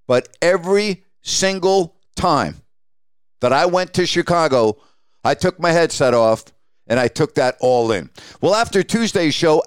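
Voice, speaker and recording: A man's voice: on the high side, clear, resonant tone, no echo. A man hosting a podcast, talking reflectively on his own in the style of a sports announcer reminiscing. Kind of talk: reflective solo podcast talk.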